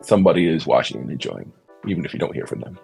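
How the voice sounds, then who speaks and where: A man's voice: extremely low pitch, expressive, rapid-fire, creaky, darkish tone, breathy edge, soft background music. A man hosting a podcast, talking in an earnest, thoughtful way and searching for his words in a casual discussion. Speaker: podcast host.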